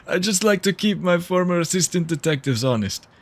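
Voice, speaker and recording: Man acting a gravelly, measured senior detective. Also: sits high, expressive. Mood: wry mentoring. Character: measured, mentoring senior male sci-fi detective. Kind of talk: audio drama dialogue.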